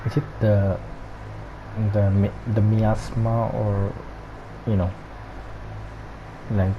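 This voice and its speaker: A woman's voice: a bass voice, flat delivery, drawn-out words, highs cut off, background noise. A woman giving casual, hesitant gameplay commentary, thinking aloud in short muttered remarks. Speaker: video game streamer. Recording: game music behind the voice.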